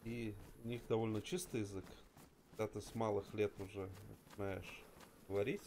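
A man from Latvia chatting casually over voice chat while gaming, easygoing and calm as he explains. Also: on the low side, moderate pace, slight background noise. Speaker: gamer on voice chat.

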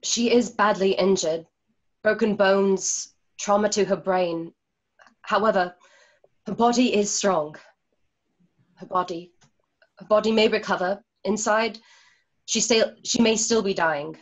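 A woman playing a calm, guarded healer in a radio drama, speaking in a measured, solemn way. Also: mid-range voice, lightly acted, poor audio. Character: calm, guarded female healer nicknamed Delicate Poison. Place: remote session on video call at home.

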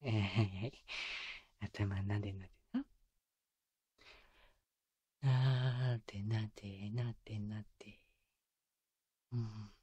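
Guy playing a sweet young boyfriend, asmr roleplay, soft breathy teasing baby talk, super close dry mic. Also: on the low side, steady tone, takes it slowly, long pauses, dry recording, highs cut off. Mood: sleepy, soothing. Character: doting young boyfriend soothing his sleepy partner. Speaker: voice actor. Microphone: close binaural microphone, dry.

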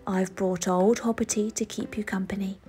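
A woman's voice: medium pitch, fairly even intonation, normal speed, dark tone, faint music behind, close to an everyday voice. A woman voicing a kindly, playful big brother bear in a storybook, reassuring and protective. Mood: reassuring, amused. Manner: chuckling, soothing.

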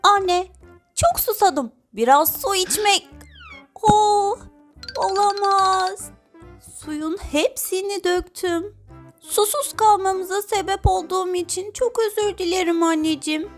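A woman's voice: very high voice, slow, soft background music. A woman voicing a polite, eager little animal boy in a children's cartoon. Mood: apologetic. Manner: polite, simple childlike phrasing.

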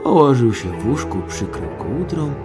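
A man's voice: medium pitch, sing-song, normal speed, loud music behind the voice. A man narrating a scripted fairy tale as the storyteller of an audio drama.

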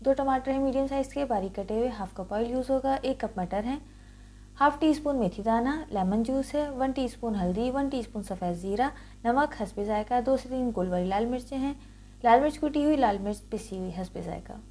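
Higher-pitched woman narrating a recipe. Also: fairly quick, mellow. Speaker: cooking show host.

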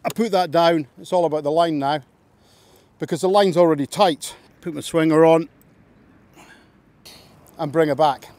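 A man's voice: on the high side, fairly even intonation, normal speed, long pauses, a little mellow, no room sound. A man, casually explaining a hands-on technique as an angler outdoors. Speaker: angler demonstrating tackle. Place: outdoors at a fishing lake.

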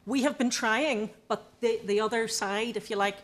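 A woman's voice: a little high, normal speed. Insistent Northern Irish woman in audience. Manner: exasperated, insistent. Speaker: audience member. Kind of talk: televised debate comment. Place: television studio audience.

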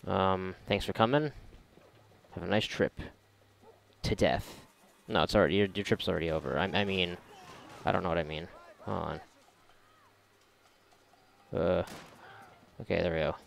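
A man's voice: deep voice, measured pace, vocal fry, long pauses. A man giving casual, sarcastic, profanity-laced gameplay commentary, often muttering to himself. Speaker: gamer doing a playthrough.

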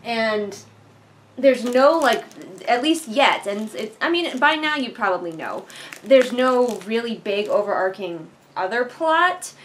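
Higher-pitched young American woman, rambling. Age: young adult. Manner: rambling, bluntly opinionated. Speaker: vlogger and book reviewer. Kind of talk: casual book commentary. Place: living room couch, indoors. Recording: background noise in a living room.

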